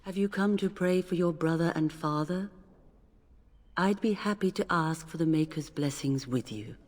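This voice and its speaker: A woman playing a devout, gently reproving priestess in a video game, speaking in a reverent, formal, measured manner. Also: on the low side, close to an everyday voice. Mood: solemn, pious. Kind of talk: video game cutscene acting. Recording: long reverb, music underneath.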